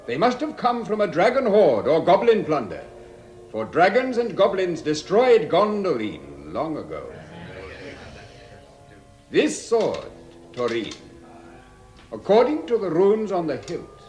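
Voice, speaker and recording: An actor playing a noble, wise elf lord with a measured, solemn manner in a 1960s radio drama. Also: male voice, fairly high pitch, heavily acted. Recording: echoey vintage radio drama, music behind.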